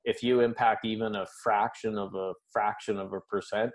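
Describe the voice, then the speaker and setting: A man's voice: fairly low pitch, little pitch movement, moderate pace. A middle-aged Canadian man with a Maritime accent, a business coach giving casual, upbeat interview answers with light laughs. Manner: conversational, upbeat, laughs lightly, uses folksy sayings.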